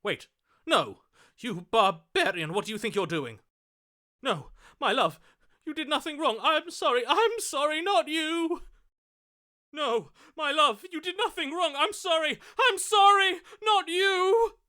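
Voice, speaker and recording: A melodramatic lovestruck romantic, swooping wildly in pitch. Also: male voice, animated, unhurried, pushed and bright, full character voice. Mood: frantic, distraught. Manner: theatrical, florid, overwrought. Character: lovestruck melodramatic romantic hero, a gushing voice in the head. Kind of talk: video game character voice acting.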